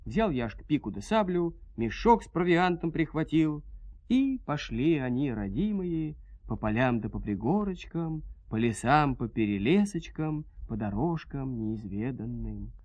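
A man narrating a folk tale in an expressive, folksy storytelling manner. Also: medium pitch, unhurried, dry recording, lo-fi sound.

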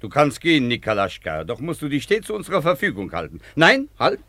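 An irritable examining magistrate in a 1950s radio play. His questions leap dramatically up and down in pitch, commanding and exclamatory, in a very dry studio. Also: huge pitch swings, a little fast, sharp tone, dry recording, exaggerated voice. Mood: official, probing.

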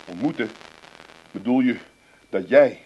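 A middle-aged actor with an Amsterdam accent playing a hot-headed, jealous husband in a radio comedy, blustering and stammering. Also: male voice, medium pitch, expressive, measured pace, muffled recording. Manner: blustering, stammering, theatrical outbursts. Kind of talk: comic radio play acting.

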